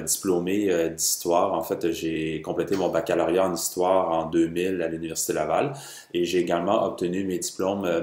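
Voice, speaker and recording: Deep-voiced Quebecois man lecturing on history. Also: a little mellow, reverberant. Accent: Quebec French. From Quebec, Canada. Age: 30s. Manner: formal and measured, heavy "euh" hesitations. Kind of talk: educational history lecture video.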